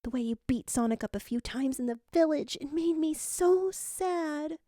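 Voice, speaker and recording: A woman voicing a gentle, caring female spirit guide with a soft, tender manner. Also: high-pitched, lively intonation, moderate pace, no room sound, studio quality, pitched above the performer's own voice.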